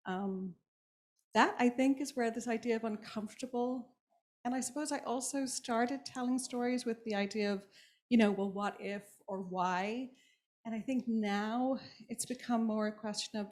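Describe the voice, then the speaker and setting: A woman from Ireland with an Irish accent, an author speaking thoughtfully on a panel, pausing often with ums and restarts. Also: mid-range voice. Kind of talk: reflective panel talk.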